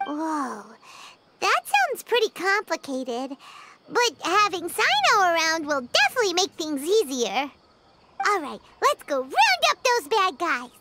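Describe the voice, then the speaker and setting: A woman voicing a tiny, cheeky, excitable childlike fairy companion in a video game. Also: very high voice, unhurried, heavy background noise, heavily acted. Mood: agreeable.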